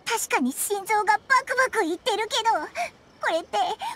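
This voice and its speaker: A woman voicing a small, timid fairy companion with a squeaky childlike voice and boyish casual speech in an anime video game. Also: fast, slight background noise. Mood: frightened. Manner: boyish casual speech, whimpering when afraid. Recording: music behind the voice. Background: game music.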